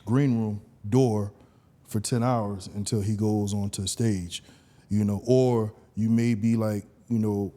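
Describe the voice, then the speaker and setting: Mellow, relaxed male podcast host. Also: fairly low pitch, slow pace. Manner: relaxed, conversational, many "you know"s. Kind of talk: casual podcast conversation.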